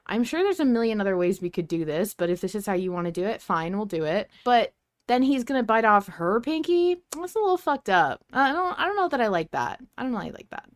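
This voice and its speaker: A woman's voice: medium pitch, big pitch swings, a little fast, no echo, studio quality. A woman hosting a podcast in a casual, chatty style. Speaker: podcast host.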